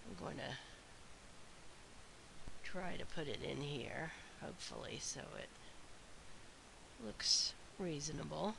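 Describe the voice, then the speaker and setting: A woman teaches a recorded math lesson through a sinus infection, her voice stuffy and weak. She speaks very slowly and methodically, with a bright, slightly lowish tone. A faint hiss runs under the recording. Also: creaky, poor audio. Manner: slow, methodical explaining with frequent uh and um. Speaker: math instructor.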